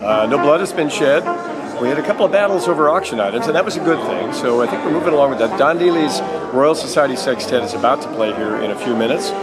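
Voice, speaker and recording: A man giving a casual event report with a wry, dry sense of humor. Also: medium pitch. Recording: loud music behind the voice. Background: loud music.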